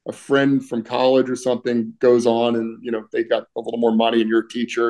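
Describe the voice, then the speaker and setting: Middle-aged man, mellow voice, throat-clearing. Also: mid-range voice, low-quality recording. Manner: conversational, many fillers, clears throat often. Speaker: union political director, guest. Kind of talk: casual video-call interview. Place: home room, indoors.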